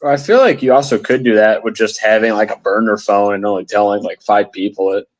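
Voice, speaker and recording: A man's voice: on the low side, fairly quick. A man bantering casually as a podcast panelist, with a playful, irreverent manner.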